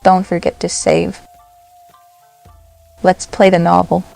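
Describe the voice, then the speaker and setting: A woman narrating a software tutorial step by step, in short, simple instructional phrases. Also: on the low side, level tone, takes it slowly, creaky, soft background music.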